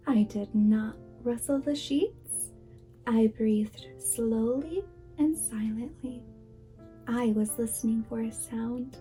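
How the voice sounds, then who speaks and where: A woman's voice: on the high side, dark tone, low-quality recording. A woman reading a children's picture book aloud, as a preschool teacher would. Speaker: guest storybook reader, preschool teacher.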